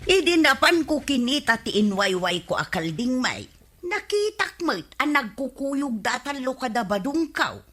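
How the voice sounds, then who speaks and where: An actress playing a curious, gossipy village neighbour with an Ilocano Filipino accent, animated and inquisitive, in a radio comedy drama. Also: female voice, high voice, measured pace, exaggerated voice.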